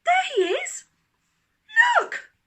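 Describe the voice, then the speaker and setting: A woman voicing an exasperated little girl in a storybook, shouting urgently and insistently. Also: very high voice, big pitch swings, extremely slow, voice pushed up, heavily acted. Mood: excited, insistent.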